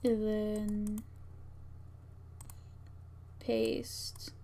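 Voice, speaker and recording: A woman's voice: medium pitch, completely flat delivery, extremely slow, gravelly, dark tone. A woman streamer talking herself through a task step by step in fragmented, muttered phrases, casually thinking aloud. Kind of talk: casual work-along narration, thinking aloud. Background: keyboard typing and clicking.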